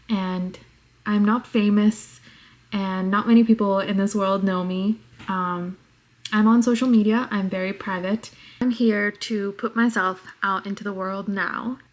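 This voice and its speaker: A woman in her twenties from New York with a very soft voice, talking reflectively to the camera in a casual vlog at home. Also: medium pitch. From New York, United States. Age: 20s. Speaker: vlogger.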